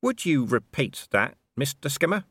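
Man acting a gruff, forceful, sardonic commander. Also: medium pitch, big pitch swings, normal speed, dry recording, studio quality, voice pushed up. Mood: brisk, commanding. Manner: forceful, sarcastic, clipped orders. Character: gruff, commanding, sardonic city watch commander turned ambassador.

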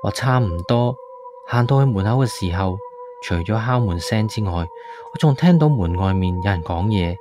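A man's voice: on the low side, expressive, normal speed, natural voice. A man playing a frightened tenant, narrating a horror radio drama in an anxious, suspenseful way. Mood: uneasy. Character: frightened male tenant recounting his haunting. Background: background music.

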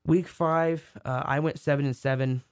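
Hoarse rambling sports podcaster. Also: male voice, fairly high pitch, fairly even intonation, moderate pace, dry recording, studio quality. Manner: rambling, many restarts. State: hoarse from a cold. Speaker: podcast co-host. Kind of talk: casual sports chat.

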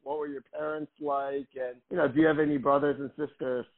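A man's voice: a little high, little pitch movement, a little fast. A man who is a pastor hosts a podcast interview, chatting in a friendly way and laughing easily. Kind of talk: casual interview. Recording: tinny phone-like line.

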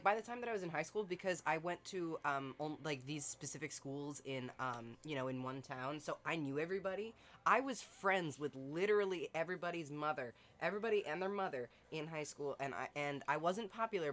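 A young woman on a podcast, telling stories in an animated way with many restarts and fillers. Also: low-pitched. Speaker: podcast co-host. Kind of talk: casual chat.

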